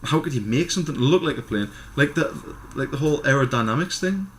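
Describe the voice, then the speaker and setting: A Scottish man co-hosts a podcast in casual conversation. He talks quickly at an average male pitch, in informal language with swearing mixed in. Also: expressive, a little hiss or hum. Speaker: podcast co-host. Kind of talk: casual chat.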